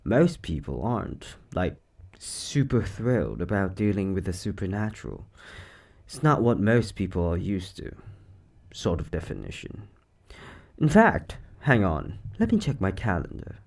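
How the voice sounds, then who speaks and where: Upbeat, quirky male shopkeeper boss played by a man for audio roleplay; casual and chatty, very animated delivery, unhurried pace. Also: low voice, creaky, exaggerated voice. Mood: excited, friendly. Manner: animated, chatty, hesitant asides.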